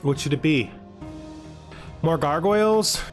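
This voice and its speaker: A man's voice: on the high side, slow pace, faint music behind. A man giving casual gaming commentary, thinking aloud and asking himself questions as he weighs his choices. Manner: asks himself questions, muses over choices. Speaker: gameplay host.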